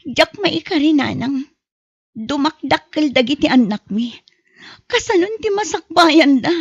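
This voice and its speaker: A woman's voice: high voice, lively intonation, a little slow, no echo. A woman acting a weary, fed-up young wife and mother in an Ilocano radio drama, plaintive in manner. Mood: dismayed, weary. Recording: muffled dry close recording.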